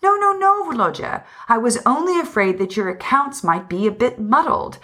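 A woman's voice: a little high, animated, normal speed, sharp tone, voice pushed up. A devoted wife from a storybook, acted out by a woman narrator. She is tender and anxious, questioning with constant worry.

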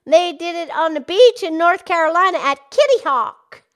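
A woman voicing an excitable, folksy cartoon pig chatting as a podcast co-host. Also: extremely high pitch, normal speed. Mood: cheerful. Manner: exclaiming, chatty, colloquial. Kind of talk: playful podcast banter.

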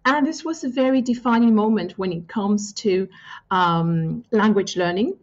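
Thoughtful woman, muffled audio. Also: medium pitch. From Greece. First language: Greek. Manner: thoughtful, with frequent pauses and fillers. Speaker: guest. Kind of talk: reflective interview answers.

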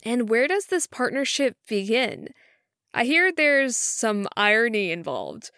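A woman's voice: fairly high pitch, sing-song, unhurried, bright, forceful tone, smooth, no room sound, clean studio sound. A woman hosting a company podcast, reading an upbeat scripted intro and then questioning her guests with enthusiasm. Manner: upbeat, enthusiastic, slightly sing-song delivery. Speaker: podcast host.

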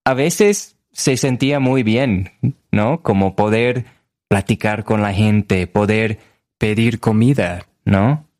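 This is a man in his thirties with a fairly low voice. He speaks slowly and haltingly in casual conversation, searching for words. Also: darkish tone. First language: English.

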